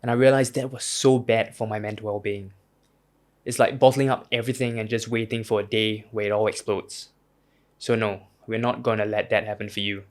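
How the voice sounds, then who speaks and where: A man's voice: lowish voice, steady tone, brisk. A man reading a scripted advertisement in a personal, sincere tone. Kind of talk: scripted ad read.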